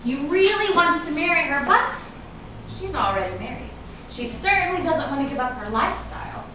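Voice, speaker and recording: A woman's voice: sits high, expressive, moderate pace, pushed and bright. An actress playing a guarded, ambitious woman fending off an old flame in a staged reading of a drama, with dramatic, emphatic delivery. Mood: intent, storytelling. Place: echoey indoor room at a staged reading. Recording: echoey room, distant mic, noisy.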